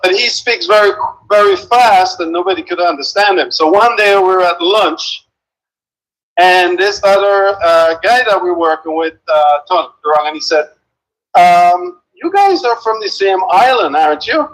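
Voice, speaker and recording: A man's voice: high-pitched, lively intonation, a bit bright. A man from Saint Vincent with a faint, mostly lost Vincentian accent, a guest joking and telling stories over a phone call. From Saint Vincent and the Grenadines. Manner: chatty, joking, halting restarts. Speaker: guest caller. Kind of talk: casual storytelling on a call.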